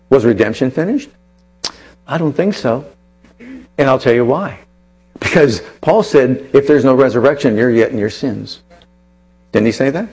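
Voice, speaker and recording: A man preaching a teaching sermon, emphatic and full of rhetorical questions, with sudden shifts between slow and rapid delivery. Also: mid-range voice, a little mellow, slightly rough.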